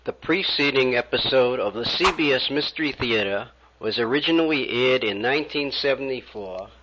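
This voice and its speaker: Bright-voiced man announcing on hissy tape. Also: mid-range voice, normal speed, highs cut off. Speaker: archive presenter. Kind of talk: informational announcement.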